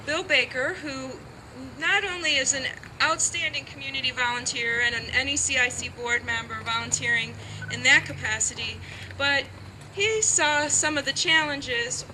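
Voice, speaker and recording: A woman's voice: high-pitched, normal speed, background noise. A woman giving an upbeat, chatty introduction outdoors at a garden ceremony. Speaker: event organizer introducing speakers.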